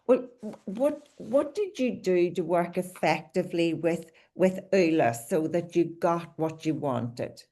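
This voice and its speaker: A woman's voice: on the low side, animated, slow, mellow. A woman hosting an interview podcast, asking questions and adding long, affirming remarks of her own. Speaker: podcast host.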